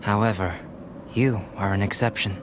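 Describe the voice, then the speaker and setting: A man playing a calm, intellectual young male alchemist in an anime game, speaking in measured, formal, aloof phrases. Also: on the low side, background noise, close to an everyday voice. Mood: composed. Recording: clear game music underneath.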